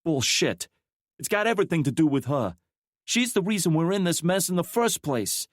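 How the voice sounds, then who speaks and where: A tough, sharp-tongued woman agent who presses hard with pointed questions, put on by a male narrator. The voice sits far higher than his own and rises further when she pushes. The sound is dry and close. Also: lively intonation, moderate pace, no room sound, close to an everyday voice. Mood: confrontational. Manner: pointed, challenging, exasperated.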